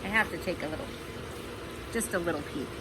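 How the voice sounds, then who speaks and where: A woman's voice: fairly low pitch, expressive, a little fast, noisy background. A woman reading a storybook aloud for children, with chatty asides to the listeners. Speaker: storybook reader.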